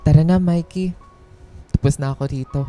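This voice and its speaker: A weary male office worker played by an actor in a radio drama. His very dark, muted timbre carries hurt and quiet resolve, with hesitant phrasing over soft music. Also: a little high, steady tone, a little fast, lightly acted. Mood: resolute.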